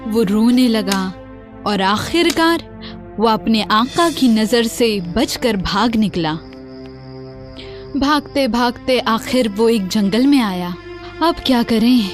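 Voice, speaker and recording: A woman narrating a scripted children's story in short, measured storyteller's phrases. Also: fairly high pitch. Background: soft music.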